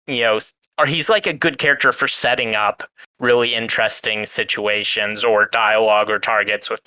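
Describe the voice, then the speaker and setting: A man's voice: lowish voice, pushed and bright, clear, resonant tone, no echo, rough recording. A man chatting casually as a podcast co-host, in an earnest, hesitant, rambling way. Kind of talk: casual chat.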